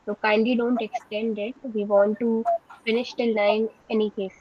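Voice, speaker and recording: A woman with an Indian English accent moderating a discussion, brisk and procedural. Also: fairly high pitch, level tone, breathy edge. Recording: dry, dull lo-fi sound.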